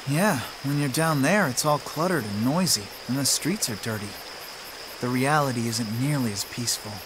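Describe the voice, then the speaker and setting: A man's voice: mid-range voice, lively intonation, normal speed, noisy background, lightly acted. An actor playing a friendly, caring, slightly awkward teenage boy hero in a game cutscene, casual and earnest. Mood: quiet wonder. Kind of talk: video game cutscene acting.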